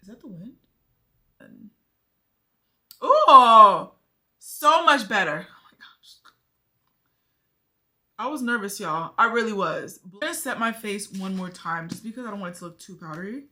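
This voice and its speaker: A woman's voice: mid-range voice, lively intonation, unhurried, pauses often, bright, forceful tone. A young woman chatting casually to her viewers while doing her makeup, thinking aloud as she goes. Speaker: beauty vlogger. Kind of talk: casual makeup tutorial chat.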